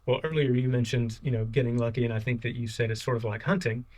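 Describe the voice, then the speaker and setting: A man at a middle pitch hosts a casual interview. He backs up his guest with agreement and asks long, rambling questions. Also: fairly even intonation, fast talker, darkish tone. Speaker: podcast host.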